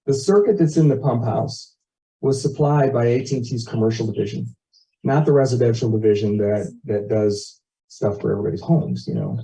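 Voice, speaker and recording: A man's voice: medium pitch, fairly quick, mellow. A man giving an informal explanatory presentation at a community meeting, talking conversationally and using everyday examples. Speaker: resident presenting an internet proposal. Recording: muffled, dull meeting audio.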